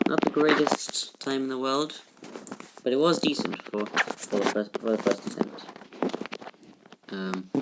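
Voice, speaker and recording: A teenage boy giving casual gameplay commentary in a halting, rambling way, full of restarts, recorded in a shared room at home. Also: on the low side, very noisy. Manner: subdued, somewhat flat delivery. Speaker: gaming YouTuber.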